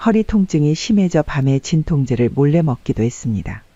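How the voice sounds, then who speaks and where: Soft-toned male narrator, higher-pitched voice. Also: big pitch swings, a little fast, no echo, highs cut off. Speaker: story narrator. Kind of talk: scripted audiobook narration of a family story.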